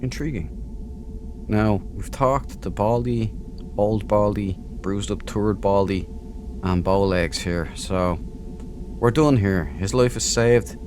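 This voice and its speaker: Slow, low-voiced man playing a game. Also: darkish tone, soft background music. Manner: jokey, playful, crude. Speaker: let's play host. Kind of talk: casual game commentary.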